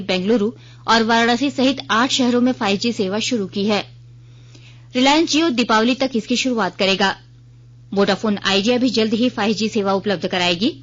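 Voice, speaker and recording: Higher-pitched Indian woman reading news. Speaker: news reader. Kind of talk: formal scripted news bulletin. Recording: dull, band-limited radio broadcast sound.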